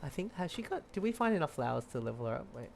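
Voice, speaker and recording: A man's voice: a little high, big pitch swings, very fast, vocal fry, a little hiss or hum. A man doing casual gameplay commentary, thinking aloud with brief reactions. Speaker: game streamer. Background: game music.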